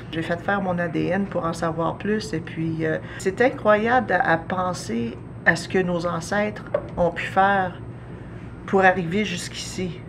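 A woman in her forties with a Canadian French accent, interviewed as an artist, speaking thoughtfully with frequent pauses and fillers. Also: on the low side, noisy background. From Ontario, Canada. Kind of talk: documentary interview. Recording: music bed under voice.

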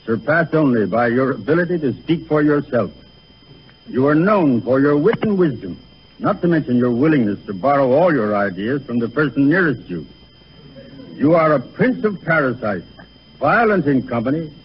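A man speaking as a dean in formal, mock-ceremonial 1930s radio style, with measured oratory. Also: mid-range voice. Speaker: university dean, guest. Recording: muffled, noisy 1930s radio broadcast.

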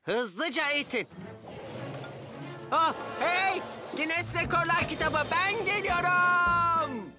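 A woman's voice: very high-pitched, expressive, takes it slowly, long pauses, music in the background, exaggerated voice. A woman voicing an eager, bossy schemer of a boy in a children's cartoon dub. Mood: bossy, excited.

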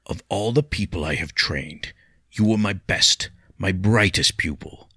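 A man voicing a stern older male weapons master with accented English, delivering measured, scolding rebukes. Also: sits low, bright, forceful tone, breathy edge, no room sound, clean studio sound, voice pushed up, heavily acted.

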